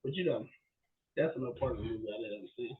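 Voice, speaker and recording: A man's voice: medium pitch, rapid-fire, echoey room, phone-quality sound. A man co-hosting a podcast, retelling movie scenes casually and animatedly, often checking in with his listener. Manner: animated storytelling with frequent "right?" check-ins. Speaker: podcast co-host.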